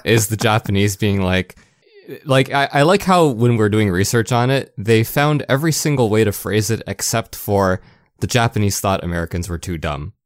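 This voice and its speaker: A man's voice: lowish voice, lively intonation, moderate pace, no room sound, studio quality. A man co-hosting a gaming podcast in casual, informal chat with a wry way of talking. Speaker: podcast co-host. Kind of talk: casual podcast chat about video games.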